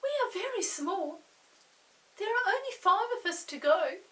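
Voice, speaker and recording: A woman's voice: extremely high pitch, animated, moderate pace, bright, forceful tone, distant mic, voice pushed up, full character voice. A woman voicing a small, polite and eager elf in a children's storybook. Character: little elves asking politely for a favour, small and eager.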